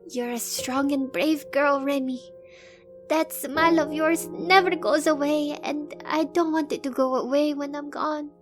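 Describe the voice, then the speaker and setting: A woman's voice: high-pitched, fairly even intonation, unhurried, soft background music. A woman playing a resigned, devoted young woman in an audio drama, speaking in a tender, earnest way. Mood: tender farewell.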